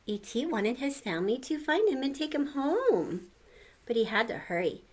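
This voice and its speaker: A woman's voice: sits high, sing-song, moderate pace, a little mellow. An older woman reading a storybook aloud to children, expressively, with exclamations and questions to the listener.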